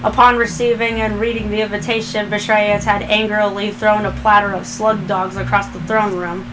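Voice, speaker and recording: A woman's voice: mid-range voice, level tone, normal speed, noisy background. A woman reading fan fiction aloud as a narrator, in a scripted storytelling style.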